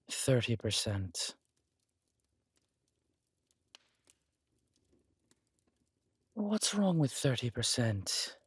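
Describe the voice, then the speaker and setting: Villain king for audio roleplay, male actor: husky voice, very close and dry, smug, flirtatious, haughty. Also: medium pitch, dry recording, exaggerated voice. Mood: scornful, stubborn. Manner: teasing, haughty, deliberate with drawn-out words. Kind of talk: dramatic romantic roleplay audio.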